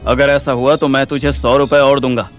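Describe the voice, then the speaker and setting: A man's voice: medium pitch, level tone, normal speed, soft background music, lightly acted. A man playing a brash, swaggering, foul-mouthed young sleuth in an audio drama, talking in bossy slang. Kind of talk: acted audio drama dialogue.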